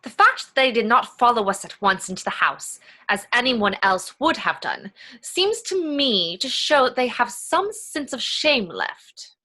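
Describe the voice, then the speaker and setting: Woman as bright, haughty Gwendolen, high-voiced society lady. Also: sing-song, a little slow, few pauses, heavily acted. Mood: indignant. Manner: formal, emphatic, indignant. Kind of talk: dramatic reading of Victorian comedy play.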